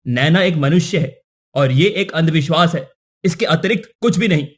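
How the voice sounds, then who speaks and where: A man's voice: a little high, normal speed, husky. A man playing a principled young prince in a cartoon story, speaking earnestly and formally. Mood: firm.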